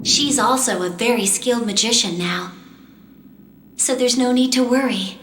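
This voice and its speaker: A woman voicing a determined, composed young female magician in a fantasy game, earnest and measured. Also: a little high, a bit bright, a little hiss or hum, natural voice. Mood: wistful, reflective. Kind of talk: English-dubbed fantasy game cutscene acting and narration. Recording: roomy echo, game music behind.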